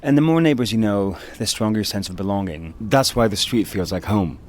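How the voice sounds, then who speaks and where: A man's voice: fairly low pitch, animated, a little fast, darkish tone. A man presenting a documentary about his street, narrating in an earnest, upbeat way. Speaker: presenter and street event organizer.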